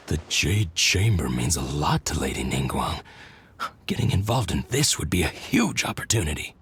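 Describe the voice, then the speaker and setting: A man's voice: extremely low pitch, sing-song, normal speed, fairly bright tone, a little hiss or hum, full character voice. A man playing a shrewd, opportunistic businessman in a video game, with a knowing delivery. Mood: calculating. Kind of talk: scripted video game dialogue. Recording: faint music behind the voice.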